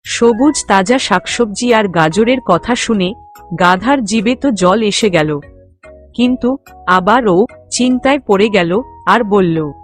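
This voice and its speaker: A woman's voice: medium pitch, expressive, slow. A woman narrating a scripted children's moral story. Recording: music bed under the voice.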